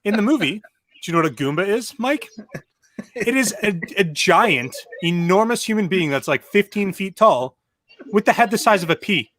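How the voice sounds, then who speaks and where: A man's voice: high voice, expressive, normal speed, fairly bright tone. A man on a casual panel chat, giving his opinions emphatically.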